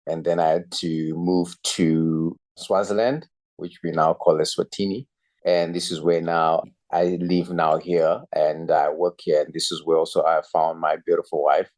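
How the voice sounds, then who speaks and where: A man's voice: deep voice, flat delivery, measured pace, darkish tone. A man, a youth pastor telling his story earnestly and reflectively as a podcast guest. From Zimbabwe. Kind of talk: podcast interview, personal story.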